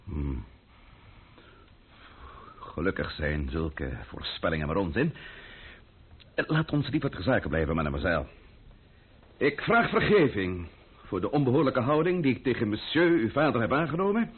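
An actor playing a pompous, condescending aristocratic count in a Dutch radio play, mannered and hesitant, with a husky voice. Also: male voice, fairly low pitch, big pitch swings, moderate pace, long pauses. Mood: stiff, rattled. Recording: old muffled narrow-band radio recording.

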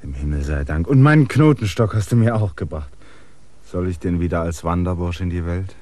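An actor in a German radio drama playing a restless, stubborn outsider with a theatrical, intense delivery. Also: male voice, low-pitched, brisk, dark tone, no room sound, close to an everyday voice. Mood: wry, tender. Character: restless, stubborn outsider man, anxiously waiting, bitterly proud.

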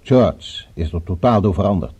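An actor in a radio drama playing a courteous, cultured villain with a politely menacing, formal and musing manner. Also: male voice, deep voice, expressive, moderate pace, a little mellow, husky. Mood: urbane, probing.

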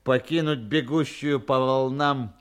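An actor playing a cold, mocking ship's master in an audio drama, deliberate, sardonic and threatening. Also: male voice, mid-range voice, clean tone, natural voice. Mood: sardonic menace.

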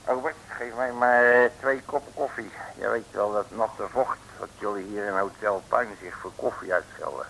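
An actor playing a cocky, slangy streetwise detective in an early 1960s comic radio serial, blustering and emphatic. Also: male voice, lowish voice, normal speed, gravelly, hardly any pauses, a bit bright, slight background noise, rough recording. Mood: cocky, grumbling. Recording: old radio broadcast recording.

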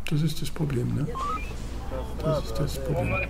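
A man's voice: fairly high pitch, moderate pace, vocal fry, a loud crowd. A man working as a lawyer, explaining matters in a matter-of-fact interview.

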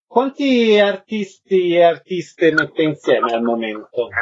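Higher-pitched male radio host. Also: very animated, takes it slowly, breathy edge, highs cut off. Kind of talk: live radio interview hosting.

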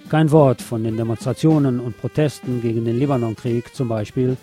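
A man's voice: medium pitch, fairly even intonation, fairly quick, over loud music. A man presenting a scripted monologue as host of a radio show.

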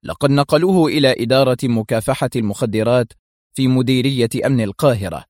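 A man's voice: medium pitch, quick pace, darkish tone, dry recording, voice pushed up, lightly acted. Guy doing a strict exasperated spy boss, bossy warning tone, audiobook thriller, close dry sound. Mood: stern. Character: stern, exasperated male intelligence director.

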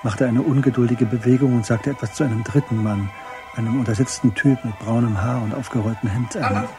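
A man narrates a radio drama. His voice sits at a medium pitch. The delivery is measured, scripted and matter-of-fact, with music clearly present behind him. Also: steady tone.

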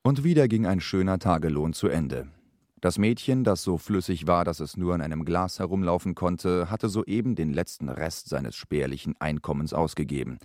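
An actor playing an irritable narrator with a mock-solemn storytelling tone in an absurd radio play. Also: male voice, low voice, expressive, moderate pace, darkish tone, no echo, clean studio sound. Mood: mock-solemn, gloomy.

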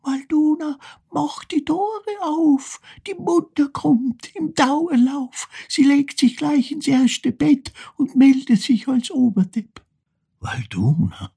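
A man voicing a deranged mother in a theatrical monologue, chanting slowly in a breathy, strained high singsong. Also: very animated, mellow, no echo, studio quality, full character voice. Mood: mad chanting. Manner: slow, drawn-out, singsong. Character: deranged mother chanting a mocking asylum rhyme.

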